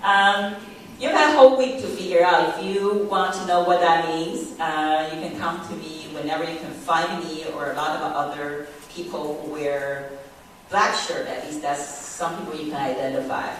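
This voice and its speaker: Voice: medium pitch, steady tone, normal speed, fairly bright tone, slight background noise. A middle-aged speaker from Taiwan, a native Mandarin speaker with a Taiwanese accent, giving a personal testimony talk with many fillers and restarts. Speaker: presenter. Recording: echoey room, distant mic.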